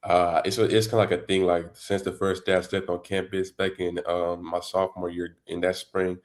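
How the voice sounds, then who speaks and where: A teenage boy, a high school football recruit born in Congo, answering interview questions in a casual, rambling way full of "you know" and "and all that". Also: level tone, normal speed. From Democratic Republic of the Congo. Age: teens.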